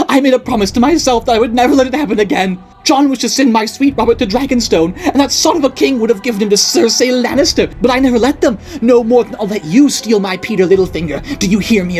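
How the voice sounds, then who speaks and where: A woman voicing an unhinged, jealous middle-aged noblewoman who rants and accuses in a shrill voice. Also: a little mellow, natural voice. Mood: obsessive. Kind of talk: audiobook character voice.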